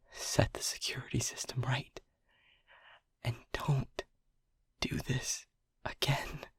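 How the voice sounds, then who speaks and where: Guy doing a nervous technician lover, husky creaky whisper, slow and hesitant, dry close mic. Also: medium pitch, no echo, heavily acted. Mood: pleading. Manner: hesitant and stammering, pleading. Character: anxious, devoted male technician lover, whispering at night. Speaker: audio roleplay performer. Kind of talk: intimate whispered audio roleplay.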